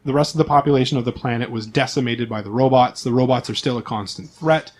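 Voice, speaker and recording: A man's voice: medium pitch, fast talker, a little mellow. A man speaking as game master, explaining the game's setting to his players in an informal, conversational way.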